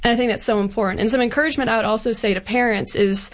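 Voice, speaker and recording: A woman's voice: fairly high pitch, racing. Young woman chatting earnestly. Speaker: guest author. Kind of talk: casual conversational interview.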